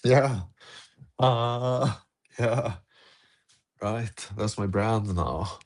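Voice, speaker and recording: A man's voice: lowish voice, drawn-out words. A man hosting a casual live audio-room podcast chat, laid-back and chuckling between phrases. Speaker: podcast host.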